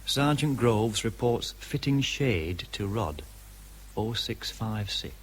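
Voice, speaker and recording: An actor playing an army shorthand writer who reports timed entries impersonally in clipped military phrases, with faint noise behind, in a radio drama. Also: male voice, lowish voice, animated, takes it slowly. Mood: impersonal, methodical.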